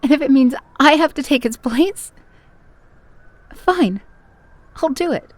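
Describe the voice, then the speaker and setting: Woman acting a slow, resolute grown daughter. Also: high voice, no echo. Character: determined, self-sacrificing grown daughter of old man. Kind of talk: horror audio drama acting.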